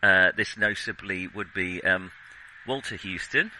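A man's voice: low voice, unhurried, pushed and bright, a little hiss or hum, poor audio. A man hosting a film podcast in casual conversation, with a wry, hesitant delivery. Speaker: podcast host.

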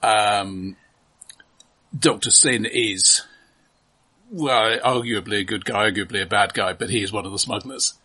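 A man co-hosting a film podcast, thoughtful and halting, often repeating words as he builds his point, with a husky voice. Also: on the low side, sharp tone. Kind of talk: casual film discussion.

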